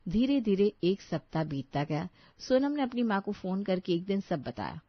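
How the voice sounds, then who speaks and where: A woman tells a scripted children's story in a medium-pitched voice. The recording is very dry and slightly muffled. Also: very animated, moderate pace, no room sound.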